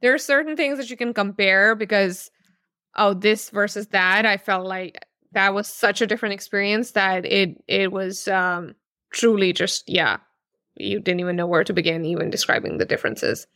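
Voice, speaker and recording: A woman's voice: medium pitch, moderate pace, bright, forceful tone, no room sound, studio quality. A woman in her thirties from Pakistan, a novelist answering interview questions thoughtfully, with many fillers and restarts. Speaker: novelist guest.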